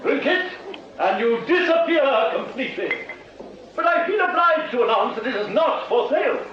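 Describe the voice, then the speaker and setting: A man's voice: very high voice, expressive, dull audio. An actor playing a grandiloquent Victorian music-hall conjurer, drawing out his theatrical patter to an audience. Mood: showy, teasing. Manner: grandiloquent, drawn-out showman's delivery. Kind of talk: theatrical stage patter in radio drama. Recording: distant, echoey theatre sound with noise.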